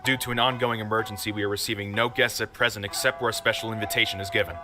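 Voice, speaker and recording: An actor playing a stern, formal gate guard in a video game. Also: male voice, fairly low pitch, fairly even intonation, racing, close to an everyday voice. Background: music.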